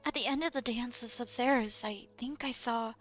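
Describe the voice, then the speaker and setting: A woman streams a story game live, casually reading out the player's lines and reacting in between. Her voice is a touch high, with faint game music under it. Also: moderate pace, a bit bright. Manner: casual, reads player dialogue aloud and reacts.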